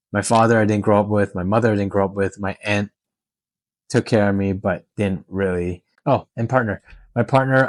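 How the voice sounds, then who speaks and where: A man with a fairly low voice chats informally, as in a personal vlog answering questions. He is candid and unscripted, and the sound is dry and close with little room in it. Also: no echo. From Canada and Hong Kong. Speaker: tattoo artist and vlogger.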